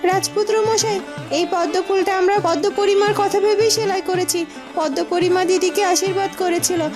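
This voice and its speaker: A young village girl in a cartoon fairytale. Her voice is very high, sweet and respectful, over clearly audible music. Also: steady tone, normal speed. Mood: proud, devoted. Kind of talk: cartoon character acting.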